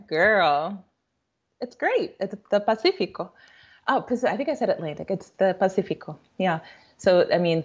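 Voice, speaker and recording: Woman guest on a dull lo-fi line. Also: mid-range voice. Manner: chatty, laughs often, many fillers. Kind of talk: casual interview chat. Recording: dull lo-fi remote audio.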